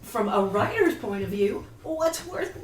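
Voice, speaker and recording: A woman's voice: on the high side, lively intonation, a little slow. Blunt woman panelist, distant and echoey. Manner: blunt, contrarian, emphatic rhetorical questioning. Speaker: writer and panelist. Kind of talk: opinionated panel discussion. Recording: echoey, distant mic, faint noise.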